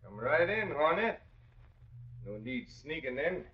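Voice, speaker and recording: An actor playing a callous, scheming nightclub gangster boss in a 1940s radio crime drama, talking in tough underworld slang. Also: male voice, a little high, big pitch swings, a little slow, a little hiss or hum. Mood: smug menace. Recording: dull old radio recording.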